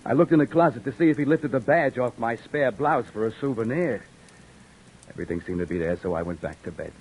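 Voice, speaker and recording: An actor playing a weary beat cop who narrates and talks with dry wisecracks, in an old radio drama. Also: male voice, mid-range voice, brisk, darkish tone, natural voice, rough recording. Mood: narrating. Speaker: narrator and lead. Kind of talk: 1950s radio drama acting. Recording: old radio recording with faint hiss.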